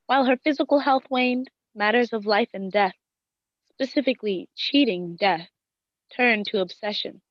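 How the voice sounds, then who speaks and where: An actress performs a devoted, worried wife telling a gothic tale for a radio play. Her voice sits fairly high and her phrasing is literary and formal. The recording is dry and slightly dull. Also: normal speed, dry recording, natural voice. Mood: somber, wistful. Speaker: actress in an audio drama. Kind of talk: dramatic first-person radio play narration and dialogue.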